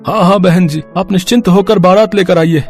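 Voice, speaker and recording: A man playing gentle male relatives in a moral story. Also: high voice, fairly quick, soft background music, lightly acted. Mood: reassuring. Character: gentle male relatives, a father and young husband. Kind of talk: animated moral story character acting.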